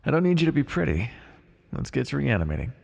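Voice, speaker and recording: Gravelly deadpan necromancer, acted by a man in reverb. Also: mid-range voice, fast, heavily acted. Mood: dry, businesslike. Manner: deadpan, muttering to himself in thought. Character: blunt, callous male necromancer, wryly businesslike. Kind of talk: ASMR fantasy roleplay monologue. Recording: long reverberant hall echo.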